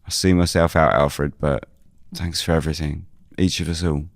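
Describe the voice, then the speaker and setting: A man playing a weary investigator who narrates a scripted audio drama in the first person, measured and brooding. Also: rumbling low, fairly even intonation, no echo. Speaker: narrator and lead character.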